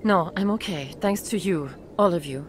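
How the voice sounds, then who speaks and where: A woman's voice: on the low side, normal speed, a little hiss or hum, lightly acted. An actress playing an earnest, shaken female doctor in a video game, explaining things carefully. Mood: shaken, grateful. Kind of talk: video game cutscene acting.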